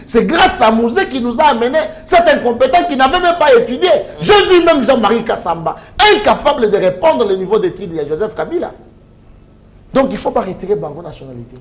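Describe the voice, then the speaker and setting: A man with a Congolese accent in a heated political debate as a panel guest, speaking emphatically and repeating himself. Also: high voice. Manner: emphatic, repetitive. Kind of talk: heated political debate, mixing French and Lingala.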